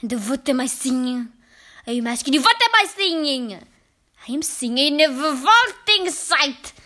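A woman voicing an imperious, child-hating witch queen in a storybook, with drawn-out, menacing delivery. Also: high voice, big pitch swings, pushed and bright, full character voice. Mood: enraged, screaming. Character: furious, tyrannical Grand High Witch who loathes children.

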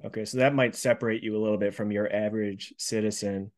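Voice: mid-range voice, fairly even intonation, quick pace, a little mellow. An interview host asking questions with short prompts and dry quips.

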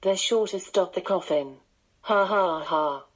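A woman's voice: on the low side, measured pace, low-quality recording. A synthetic woman's voice of a voice assistant, narrating bedtime stories.

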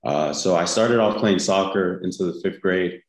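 A young man from the United States, a football player being interviewed, answering in an easygoing, halting way with many pauses. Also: deep voice. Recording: roomy echo.

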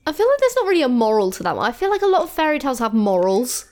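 A woman's voice: sits high, wildly swooping pitch, very fast, darkish tone. A woman co-hosting a podcast, talking in a chatty, informal and opinionated way, as if with a friend. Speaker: podcast co-host. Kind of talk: casual chat between friends.

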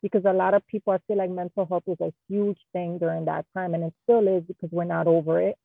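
Queens woman 30s chatting candidly, husky-ish, tinny dry sound like a phone. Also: on the low side, fast, no echo. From Queens, New York. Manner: candid, animated storyteller with slang. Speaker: guest interior designer. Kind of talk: casual conversational interview.

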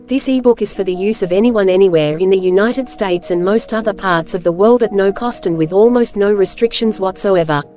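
A woman reading scripted storybook narration as host, with measured, clear phrasing. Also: mid-range voice, mellow, soft background music.

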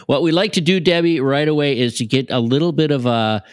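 A man's voice: on the high side, a little fast, smooth, no room sound, studio quality. A man hosting a casual podcast, making upbeat introductions of the guest and the co-hosts. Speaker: podcast host.

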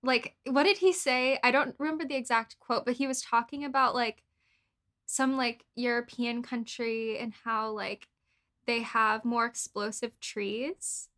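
A young woman with an American accent, co-hosting a casual podcast in informal, halting phrases sprinkled with "like". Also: a little high, steady tone, a bit bright. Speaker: podcast co-host. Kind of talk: casual political podcast chat.

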